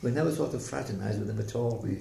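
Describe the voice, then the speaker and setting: An older man with a slight Welsh accent recounts his memories. His voice is gravelly and creaky, and he speaks haltingly and reflectively. The recording sounds like an echoey room with faint background noise. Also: fairly low pitch. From South Wales. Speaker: interviewee telling life story. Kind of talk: oral history reminiscence.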